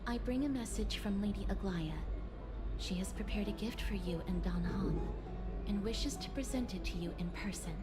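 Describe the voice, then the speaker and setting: A woman acts as a courteous young woman in a video game. Her speech is gentle, formal and reserved at a middling pitch, with game music behind. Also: normal speed, close to an everyday voice. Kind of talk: English video game voice acting.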